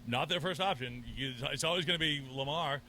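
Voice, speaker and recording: Excitable bright-voiced man, stammering restarts. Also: fairly high pitch, fairly quick, a little hiss or hum. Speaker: podcast co-host. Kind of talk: casual sports chat. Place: sports bar.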